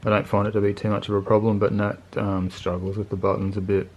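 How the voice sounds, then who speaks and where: A man with a lowish voice talks through a piece of gear off the cuff. His delivery is very monotone and laid-back, with plain, colloquial phrasing. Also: quick pace. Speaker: motorcycle video channel host reviewing gear. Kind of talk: casual unscripted product review.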